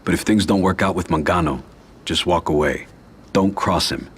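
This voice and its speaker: An actor playing a cold, calculating middle-aged mob boss who speaks calmly and with quiet command in a game cutscene. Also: male voice, sits low, slightly rough. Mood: warning. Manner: calm, measured, quietly commanding.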